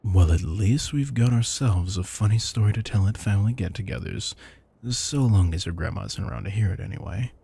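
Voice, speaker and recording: A man's voice: lowish voice, brisk, gravelly, dark tone, husky, full character voice. A man playing an affectionate, theatrical retired supervillain turned doting house husband, teasing and mock-grandiose in an intimate audio roleplay. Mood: playful, competitive.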